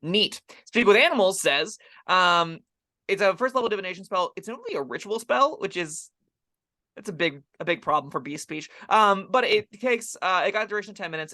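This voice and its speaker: Fast, high-pitched man explaining game rules. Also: bright, forceful tone. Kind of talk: casual, opinionated rules discussion.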